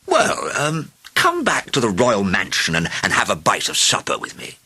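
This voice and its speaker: Man acting an eager, excitable high-voiced king. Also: a little slow, husky, dry recording, exaggerated voice. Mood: delighted. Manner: exclaiming, enthusiastic. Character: eager, rebellious, delighted short king.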